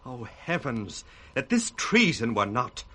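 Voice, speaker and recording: Treacherous son feigning sorrow, husky, middle-pitched, theatrically expressive, vintage radio drama. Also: male voice, slow, heavily acted. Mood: false lament. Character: scheming false son, feigning pious sorrow. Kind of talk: 1960s Shakespearean radio acting.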